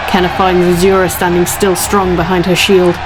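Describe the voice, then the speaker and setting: A woman's voice: lowish voice, level tone, normal speed, background noise. A woman reading scripted narration of a fantasy action story as its narrator. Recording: loud music under the voice.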